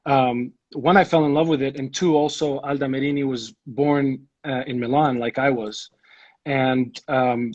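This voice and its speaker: A man's voice: medium pitch, fairly even intonation, measured pace, a little mellow, muffled recording. A young man who grew up in Ethiopia, chatting as a guest, rambling with many restarts and fillers. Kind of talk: casual video-call chat.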